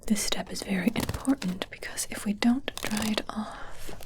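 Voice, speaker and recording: A woman playing an evil witch in an ASMR roleplay, speaking in a breathy, deliberate whisper with a smug, softly condescending manner. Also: mid-range voice, natural voice. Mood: calm, instructive. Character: whispering evil witch, smug, coolly teasing, testing potions.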